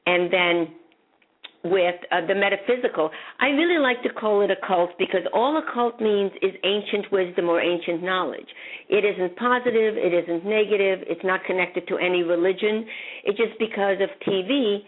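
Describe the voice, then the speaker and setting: A woman's voice: medium pitch, moderate pace. A woman from Hungary, a psychic guest, talking in a rambling, chatty way and laughing often. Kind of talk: casual interview chat. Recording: phone-line audio.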